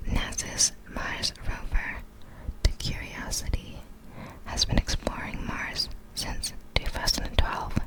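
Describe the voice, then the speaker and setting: A woman speaks entirely in a soft, breathy whisper in the style of an ASMR video. She reads facts very slowly, in short halting phrases. Her manner is apologetic, and she tends to stumble over difficult words. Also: rough recording.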